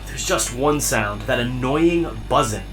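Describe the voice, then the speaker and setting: A woman's voice: extremely low pitch, animated, slow, over music, full character voice. A woman playing a timid, polite, curious robot companion in an audio drama, hesitant and earnest. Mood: matter-of-fact.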